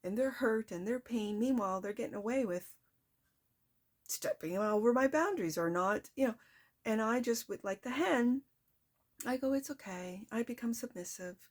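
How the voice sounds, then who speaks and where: A soft-spoken woman hosting a casual tarot reading, talking straight to her viewers in a chatty, reflective way. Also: a little high, expressive, normal speed. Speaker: tarot reader.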